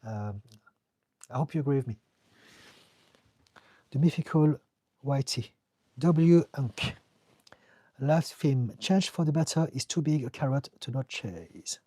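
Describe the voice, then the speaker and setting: A man's voice: medium pitch, lively intonation, slow pace, pauses often, warm tone, no echo. A man with a French accent, a therapist answering viewers' questions on a live stream in an earnest, direct way, sometimes repeating words as he searches for them. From France. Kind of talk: live-stream Q&A, casual advice.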